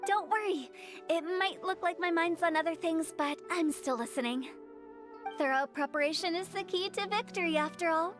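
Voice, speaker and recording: A woman's voice: very high voice, normal speed, over music. A woman voicing a confident, playful, quirky teenage girl with a breezy manner in an anime-style video game.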